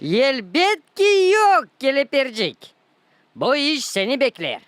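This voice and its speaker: A woman voicing a cheeky, teasing youngster in an animated cartoon. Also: sits high, slow, no room sound, exaggerated voice.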